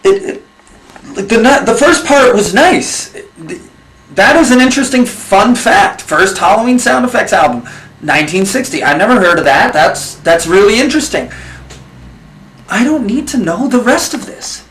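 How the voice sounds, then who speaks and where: A man playing a reluctant comedy-show presenter, halting and exasperated as he reads out grim facts. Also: sits high, very animated, fairly bright tone. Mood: exasperated, baffled. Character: reluctant, exasperated male presenter reading grim fact cards. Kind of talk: comedy sketch hosting. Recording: roomy, echoing sound with faint noise.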